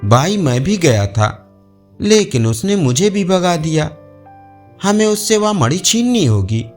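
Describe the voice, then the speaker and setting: A man's voice: high-pitched, sing-song, a little slow, faint music behind. A man voicing a crafty, vengeful male elephant in a storybook tale, with a conspiratorial manner. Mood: indignant.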